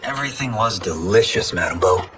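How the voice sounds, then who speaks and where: An actor playing an earnest, contented young farmer in a video game cutscene, sincere and gently good-humored. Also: male voice, on the low side, animated, fairly quick, background noise, lightly acted.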